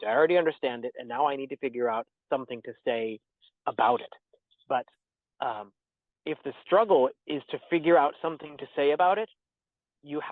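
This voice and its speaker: Man, guest on an interview, thoughtful and halting, tinny dry call audio. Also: mid-range voice, no echo. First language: English. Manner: thoughtful, measured, with many fillers and restarts. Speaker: interviewed poet and theology teacher. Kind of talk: reflective interview answers. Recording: tinny dry phone-like line.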